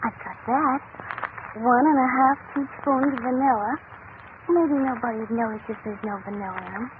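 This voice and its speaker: A woman's voice: a little high, normal speed, natural voice. A woman acting as an earnest, worried young child in 1940s radio drama style. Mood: plaintive. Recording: tinny noisy old radio recording.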